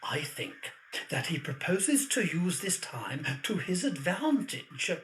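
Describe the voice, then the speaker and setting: A man acts out an elderly aristocrat in an audio period drama, high in pitch and very unhurried. He is lonely and courteous, halting as he talks. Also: big pitch swings, fairly bright tone, slight background noise, heavily acted. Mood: sly.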